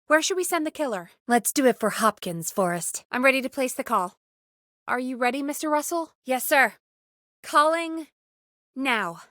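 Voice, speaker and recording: An actress playing an earnest, excitable female radio call screener in a video game, speaking in an expressive, conversational way. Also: high-pitched, normal speed, no room sound, studio quality. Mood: subdued, resolved.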